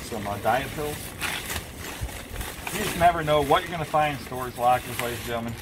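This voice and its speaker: A man in his forties with an Ohio accent, an auctioneer giving excitable vlog commentary with showman asides while digging through a storage unit, recorded by a handheld camera outdoors with loud background noise. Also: medium pitch, a little fast. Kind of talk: casual vlog commentary to viewers.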